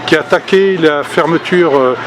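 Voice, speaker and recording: An older man delivers an unscripted activist speech outdoors, voice pitched a little high for a man. He pauses often, restarting sentences and filling gaps with hesitations, but stays earnest throughout. Passing traffic and street noise sit clearly behind him. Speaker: activist speaking at a public meeting. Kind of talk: spontaneous political speech.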